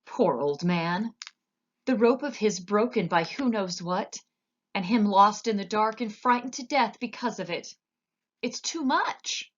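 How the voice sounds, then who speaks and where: A woman reading aloud and voicing a stout, weeping castle cook who wails in a high, loud, slow voice. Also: lively intonation, lo-fi sound. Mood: distraught. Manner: wailing, high-strung and loud.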